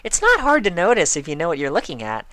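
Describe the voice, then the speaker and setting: Perky female cartoon pony, high voice, emphatic, childlike, very animated. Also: fast talker, dry recording. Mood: friendly, reassuring. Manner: gushing, emphatic, childlike phrasing. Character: bubbly, overexcited young female pink pony.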